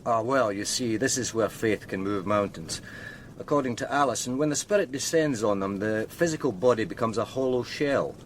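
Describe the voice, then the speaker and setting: An actor playing a measured, deferential male detective explaining evidence to his superior in a radio drama. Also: medium pitch, lively intonation, slight background noise, lightly acted. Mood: measured, matter-of-fact. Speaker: police officer.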